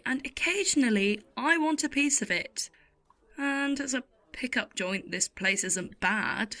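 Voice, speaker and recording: Actress as a middle-aged waitress, slow delivery, world-weary, sardonic, intimate audio play monologue. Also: female voice, medium pitch, fairly bright tone. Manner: intimate, sardonic, deliberate storytelling. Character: world-weary, wry older waitress in a late-night cafe.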